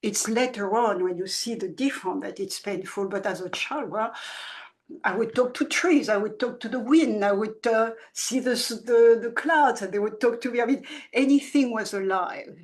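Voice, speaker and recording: Older woman around 70s, grew up in france, soft halting voice, lots of restarts, chatting about her book. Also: a little high. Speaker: children's book author, guest. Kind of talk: reflective conversational interview.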